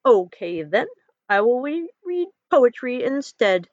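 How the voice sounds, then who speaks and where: A woman acts out a young storybook frog, male, whiny and anxious, given to grand theatrical delivery. He pitches up above a plain talking voice, and the recording is dry and a little dull. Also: very animated, slow pace, no echo. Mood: dramatic, woeful. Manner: whiny, theatrical, stuttering when scared.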